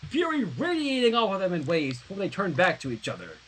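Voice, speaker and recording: A co-host reading fan fiction aloud in an animated storytelling style. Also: mid-range voice, a little fast, a little hiss or hum, rough recording.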